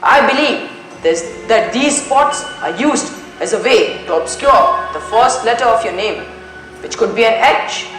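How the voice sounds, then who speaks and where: A teenage student acting a composed, methodical detective in a stage play, speaking formally and deliberately. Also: mid-range voice, lively intonation, natural voice. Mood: deliberate, probing. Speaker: student actor in a school play. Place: school stage, indoors. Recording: very echoey school stage, music behind.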